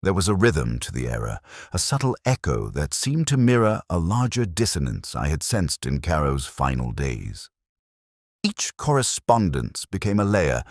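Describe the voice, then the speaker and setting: A man reading scripted first-person detective fiction in a measured, contemplative, literary manner, as a narrator. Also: very deep voice, no echo, studio quality.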